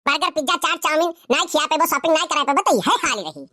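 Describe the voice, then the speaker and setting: A performer voicing a squeaky, quarrelsome village character in an Awadhi cartoon comedy, scolding and exclaiming with an Awadhi accent. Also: fast talker, sharp tone, no room sound, studio quality.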